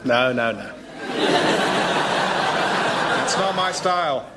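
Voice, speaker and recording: An actor playing a slyly jealous friend in a radio comedy sketch, breezy and feigning innocence. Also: male voice, medium pitch, animated, slow, heavy background noise. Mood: blandly innocent.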